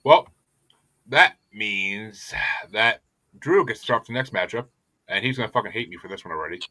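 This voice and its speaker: Podcast host, male, swears constantly, rambles fast, bright edge, dry close mic. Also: on the low side, dry recording. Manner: profane, animated, rambling. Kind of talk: casual podcast chat.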